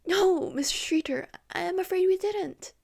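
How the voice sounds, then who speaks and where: A woman's voice: very high voice, normal speed, creaky, darkish tone, pitched above the performer's own voice. Courteous police officer on the phone, read by a woman. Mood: polite. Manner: courteous, apologetic. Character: polite, casual male police officer on the phone.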